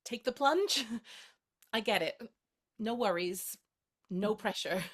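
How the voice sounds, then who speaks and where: An actress playing a playful, tender young woman in a play reading, gently teasing as she courts her friend. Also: mid-range voice, expressive, takes it slowly. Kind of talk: two-hander stage play reading.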